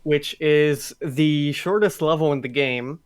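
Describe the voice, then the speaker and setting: A man with a voice a little higher than most provides live speedrun commentary. He talks casually and explains as he goes, often pausing and restarting mid-thought. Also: clean tone.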